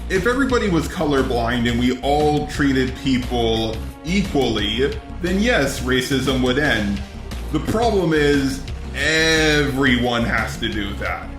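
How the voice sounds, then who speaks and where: A man's voice: medium pitch, big pitch swings, slow pace, echoey room, over music. A man's synthetic text-to-speech voice delivering scripted video commentary.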